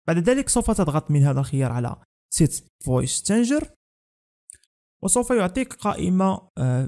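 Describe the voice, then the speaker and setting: Fast-talking mellow young man, tutorial narration. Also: on the high side. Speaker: tech tutorial channel host.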